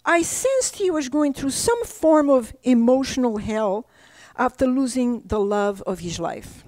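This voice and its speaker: An older woman from Portugal, whose first language is Portuguese, reads aloud from her own book at a library talk. Her voice sits high but mellow, and her pace is unhurried. The sound is dry and close. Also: lively intonation, no room sound. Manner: slow, deliberate reading. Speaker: author. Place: library presentation room.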